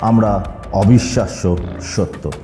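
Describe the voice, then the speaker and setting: Man voicing old woman's ghostly severed head, slow ominous proclamations, very dark tone, echoing, horror audio story. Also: on the low side, soft background music. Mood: menacing, triumphant.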